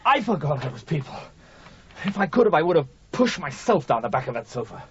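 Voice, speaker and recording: An actor playing a hapless middle-aged editor in a radio drama, hesitant, muddled and pleading. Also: male voice, a little high, sing-song, a little fast, breathy edge, full character voice. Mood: desperate, pleading. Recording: dull 1980s radio broadcast.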